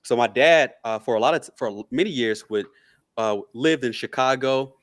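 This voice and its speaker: A man's voice: medium pitch, moderate pace. A middle-aged American man talking casually as a podcast guest, answering in an interview. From Memphis, Tennessee, USA.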